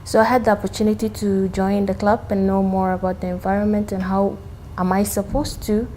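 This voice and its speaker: Mellow woman from South Sudan. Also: mid-range voice, flat delivery, fairly quick, a little hiss or hum, poor audio. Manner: hesitant, personal. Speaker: panel guest. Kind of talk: panel discussion answer.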